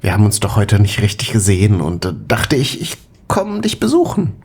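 An actor playing an affectionate, mischievous young man in a naturalistic, conversational audio drama style with a husky voice. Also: on the low side, wildly swooping pitch, moderate pace, a little mellow, heavily acted. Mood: furtive, playful.

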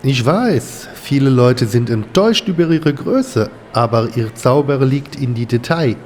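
An actor playing a courteous, enthusiastic French museum guide with a French accent, speaking deliberately and searching for words. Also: male voice, medium pitch, lively intonation, darkish tone, lightly acted. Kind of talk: German audio drama, guided museum tour. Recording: reverberant hall with faint ambient noise.

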